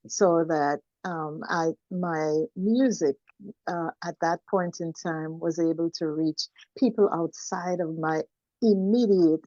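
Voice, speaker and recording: Low-voiced older Trinidadian woman, reminiscing. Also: darkish tone, dry recording, dull audio. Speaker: singer, interview guest. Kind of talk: conversational storytelling about own life.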